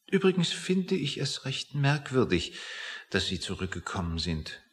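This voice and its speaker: Wildly swooping, sly, touchy official. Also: male voice, medium pitch, sing-song, unhurried. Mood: flustered, defensive. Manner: oily politeness, emphatic repetitions. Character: sly, touchy male official who cannot stand up. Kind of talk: German radio play acting.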